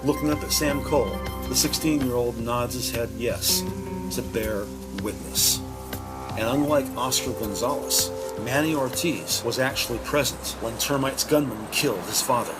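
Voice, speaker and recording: A man giving measured, descriptive present-tense narration for a scripted drama. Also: mid-range voice, over loud music.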